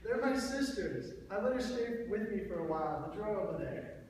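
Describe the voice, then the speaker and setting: A man's voice: sits high, animated, fairly quick, darkish tone. A young man acting an awkward, jittery young man in a live dramatic play reading. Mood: awkward, uneasy. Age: young adult. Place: student reading event in a reverberant room. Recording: distant, very echoey hall, noisy.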